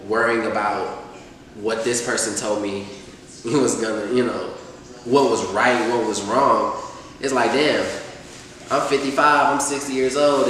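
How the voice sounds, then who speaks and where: A rapper in his twenties from Atlanta, talking casually in an interview, relaxed and rambling with many fillers. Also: mid-range voice, a little hiss or hum. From Atlanta, USA. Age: 20s. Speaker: rapper, guest. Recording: echoey room, distant mic.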